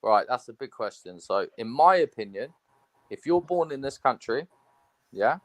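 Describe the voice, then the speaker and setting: A man's voice: mid-range voice, lively intonation, moderate pace. A man from England hosting a live stream, asking questions in a casual, curious chat.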